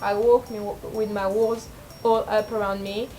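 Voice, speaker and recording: A young woman with a low speaking voice, rambling and self-deprecating in a casual confessional vlog, full of fillers and restarts. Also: level tone, normal speed, dark tone, soft background music, rough recording. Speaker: vlogger.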